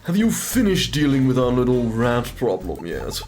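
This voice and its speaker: A man's voice: mid-range voice, wildly swooping pitch, moderate pace, a little mellow, voice pushed down. A man voicing a haughty, wealthy company boss in a mystery game, drawling and condescending, with music underneath. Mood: sneering, disdainful.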